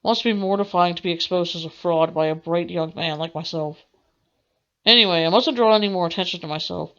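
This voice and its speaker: A woman's voice: lowish voice, fairly quick, a bit bright. A woman game streamer reading visual novel narration aloud in a theatrical way. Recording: dull audio with faint music.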